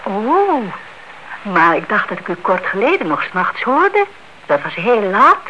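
An actress playing an inquisitive, stubborn elderly aunt in a Dutch radio play, politely pressing with questions. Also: female voice, mid-range voice, very animated, a little slow, bright, forceful tone. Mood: prying, polite. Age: older. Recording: dull, noisy old radio recording.

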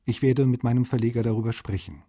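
A male actor voices a courteous literary translator. His voice is mellow and slightly husky, at a middle pitch. He reads his letters politely and formally, as in a radio feature. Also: quick pace, natural voice. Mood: courteous, earnest.

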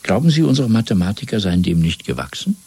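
A man playing a cold, fanatical party interrogator in a German radio drama, lecturing deliberately and domineeringly with a husky, breathy voice. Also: on the low side, sing-song, no room sound, heavily acted. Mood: cold, menacing. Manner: didactic, deliberate, swelling from hushed to emphatic.

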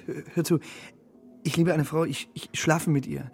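An actor in a radio drama playing a defiant, disgusted young man in love, blunt, agitated and creaky. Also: fairly high pitch, fairly even intonation, normal speed, a little mellow, faint music behind. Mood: defiant, passionate. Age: young adult. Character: defiant young lover, disgusted and passionate rich son.

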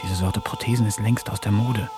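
A man's voice: lowish voice, fairly even intonation, brisk, loud music behind the voice, lightly acted. An actor playing an eccentric young poet who narrates his diary in a dry, ironic manner in a radio play. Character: eccentric young male poet, wants to talk with animals.

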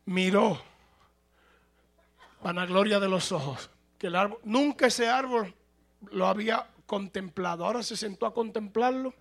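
A male pastor preaching a sermon, moving between plain conversational talk and loud, shouted passages. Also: sits high, unhurried, pauses often.

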